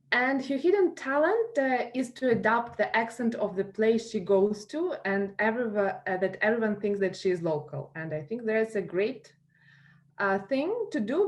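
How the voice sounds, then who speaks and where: A woman's voice: mid-range voice, slight background noise. A woman with a Ukrainian accent moderating a roundtable Q&A, speaking with many fillers and restarts. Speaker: moderator of a school alumni panel. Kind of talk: hosting a roundtable Q&A.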